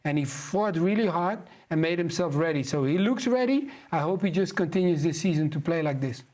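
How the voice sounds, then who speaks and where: A Dutch man with a notably high voice speaks in English with a clear Dutch accent. He is a football pundit on a studio panel, animated and quick to laugh, repeating phrases as he builds his point. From Netherlands. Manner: animated, laughs easily, repeats himself for emphasis.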